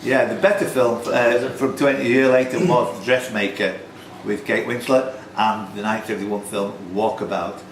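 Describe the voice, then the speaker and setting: A man's voice: lowish voice, steady tone, normal speed, hardly any pauses. A man hosting a pub quiz, reading out questions and scores informally, with chatty asides. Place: indoor pub. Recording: roomy pub recording with background noise.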